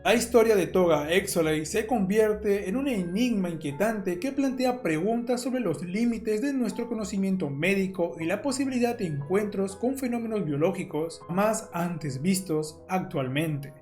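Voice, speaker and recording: A man's voice: sits high, expressive, moderate pace, hardly any pauses, a little mellow. Man narrating a horror case over music. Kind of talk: scripted documentary-style horror narration.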